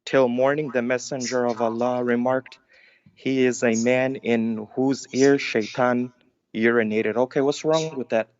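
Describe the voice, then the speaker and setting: A man's voice: mid-range voice, fairly even intonation, a little slow, clean tone, lo-fi sound. A man calling into a debate show, insistent and stammering, repeating his demand for proof. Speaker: caller. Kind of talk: argumentative phone-in debate.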